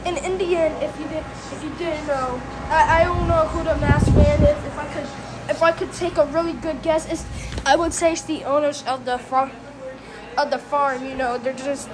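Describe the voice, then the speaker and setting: High-pitched woman giving casual reaction commentary. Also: steady tone, moderate pace, over music, poor audio. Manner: informal slangy, rambling recap. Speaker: host of a reaction video.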